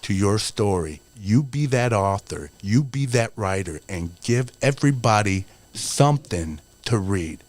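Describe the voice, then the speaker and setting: A man's voice: lowish voice, lively intonation, a little slow, hardly any pauses, darkish tone. A man in his forties, a wrestler guest on a podcast, talking in an earnest, motivational way with lots of "you know". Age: 40s. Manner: earnest, motivational, rambling with many fillers. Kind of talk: casual podcast interview.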